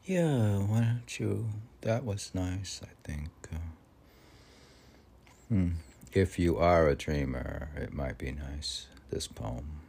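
A man with a very low, gravelly voice hosts a poetry reading. He moves between verse and rambling casual remarks. His musing is halting and unhurried, often questioning himself. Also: a little mellow. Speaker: podcast host reading poetry.

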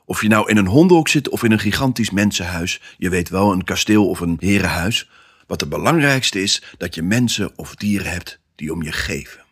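A male actor voicing an excitable pet dog who tells his own Christmas adventure in an expressive, theatrical family audio drama style. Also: sits low, normal speed, breathy edge, heavily acted. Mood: warm, joyful. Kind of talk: first-person storytelling in a family audio drama.

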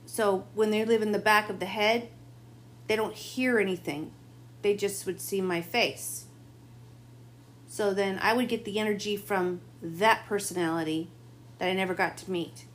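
A woman doing a late-night tarot reading, talking in a rambling, confiding way, her voice gone a bit raspy. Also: mid-range voice, steady tone, moderate pace, slight background noise. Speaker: tarot reader. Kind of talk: late-night spiritual monologue.

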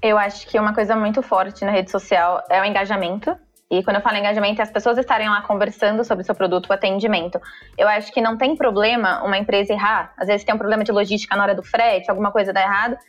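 A woman's voice: medium pitch, fairly even intonation, quick pace, faint music behind. A woman with a Brazilian accent giving advice in a conversational style.

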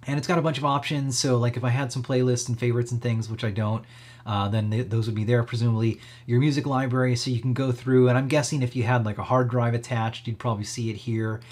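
A man giving a casual, upbeat software walkthrough as a tech stream host, talking in an easygoing, approving way. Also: mid-range voice.

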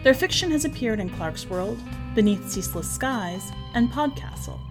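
A woman, the podcast's editor, reading a short story aloud in measured scripted narration. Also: a little high, expressive, over music.

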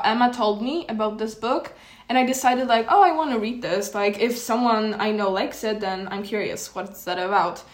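A teenage girl from Poland chats casually as a podcast co-host. She hesitates and restarts, filling gaps with "um" and "like", and her slightly high voice rings in an echoey room. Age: teens. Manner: hesitant, many fillers and restarts. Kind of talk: casual chat.

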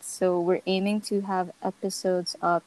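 A young woman from the Philippines co-hosting a casual podcast, easygoing and quick with short agreements. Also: lowish voice, little pitch movement, darkish tone, rough recording. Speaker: podcast co-host. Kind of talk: casual chat.

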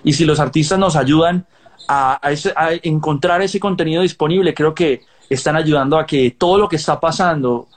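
Chatty, higher-voiced male podcast host. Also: normal speed. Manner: chatty, joking, playful. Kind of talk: casual interview chat. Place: Los Angeles.

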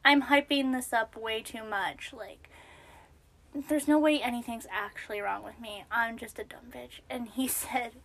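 A young woman co-hosting a podcast, chatting casually with a naturally high voice and a self-deprecating manner, sometimes dropping to a whisper. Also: a little slow, vocal fry, fairly bright tone. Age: young adult. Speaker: podcast co-host. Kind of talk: casual chat.

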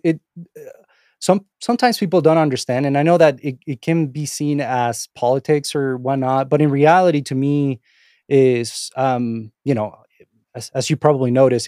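A man's voice: mid-range voice, normal speed, a little mellow, dry recording, clean studio sound. A middle-aged man from Venezuela with a Venezuelan Spanish accent, talking casually as an interview guest, rambling with many restarts and fillers. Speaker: interview guest, instructor and author.

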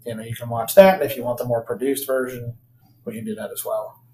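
A man's voice: medium pitch, fairly even intonation, fast, mellow, reverberant, rough recording. A man co-hosting a podcast in casual chat, rambling with fillers and laughing often. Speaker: podcast co-host.

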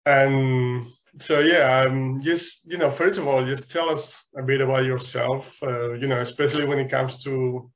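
A man hosts a podcast and asks his questions loosely, leaning on "you know" as he goes. His voice sits at an ordinary middle pitch. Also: steady tone, measured pace. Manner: loose, filler-heavy questions. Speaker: podcast host. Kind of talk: casual interview hosting.

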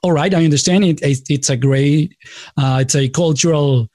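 A man's voice: a little high, moderate pace, darkish tone, dry recording, clean studio sound. A man with a Latin American Spanish accent, a native Spanish speaker, talking conversationally as a podcast host, often digressing and correcting himself. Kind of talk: casual conversational interview.

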